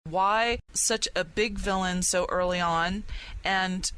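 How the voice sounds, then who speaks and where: A woman's voice: lowish voice, fairly even intonation, takes it slowly, fairly bright tone, a little hiss or hum, poor audio. A woman talking casually as a panelist on a gaming podcast, putting questions to the others.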